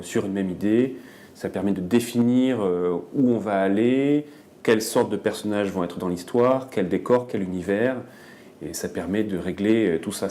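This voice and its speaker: A man's voice: fairly low pitch, dark tone, slight background noise. A man explaining animation scriptwriting and storyboarding in a documentary interview, hesitating often. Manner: explanatory, many hesitations with euh.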